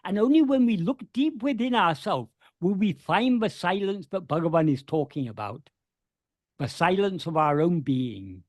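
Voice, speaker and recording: A man's voice: high-pitched, expressive, normal speed, dry recording. Earnest man teaching over a video call. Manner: earnest, repeats words while searching for phrasing. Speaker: spiritual teacher answering questions.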